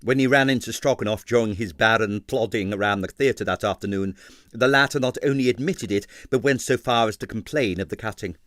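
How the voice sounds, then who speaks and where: A man reading an abridged novel aloud with expressive narration. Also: on the low side, brisk, dry recording, clean studio sound. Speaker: audiobook reader.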